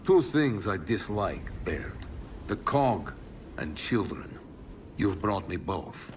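An actor playing a gruff, bitter old nomad soldier in a video game, deliberate and contemptuous. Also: male voice, deep voice, big pitch swings, a little hiss or hum, full character voice. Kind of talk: video game cutscene acting.

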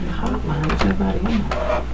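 A woman's voice: mid-range voice, animated, moderate pace, vocal fry. A middle-aged woman, a school guidance director, chatting casually in an office. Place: small school office, indoors. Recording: noisy office, room camera pickup.

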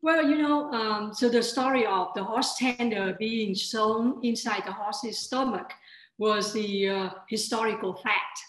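A woman's voice: a little high, measured pace, fairly bright tone. Thoughtful woman on a video call. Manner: thoughtful, with hesitations. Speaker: librettist. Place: home room. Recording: echoey room.

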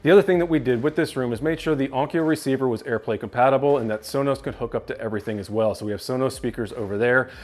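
Guy in his 30s doing a chatty house tour vlog, upbeat, clip mic, mid pitch. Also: fast, few pauses, darkish tone. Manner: upbeat, gestures with his hands while walking and talking to camera. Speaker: tech reviewer giving a home tour. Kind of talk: casual home tour walkthrough. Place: home basement rooms, indoors. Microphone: clip-on lapel mic.

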